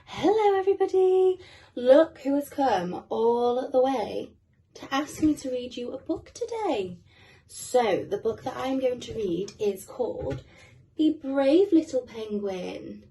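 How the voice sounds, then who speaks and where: British female storybook reader, expressive, high and mellow, speaking to young children. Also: slow, recorded from a distance, poor audio. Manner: expressive, addresses young listeners. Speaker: guest reader. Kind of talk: children's storybook reading with asides.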